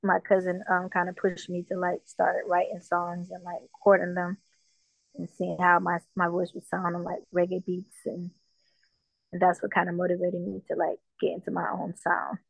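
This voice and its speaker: A woman from Jamaica, a musician guest, talking casually in an interview with a relaxed manner and lots of fillers. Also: lowish voice, normal speed, creaky, dry recording. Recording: dull, dry lo-fi sound.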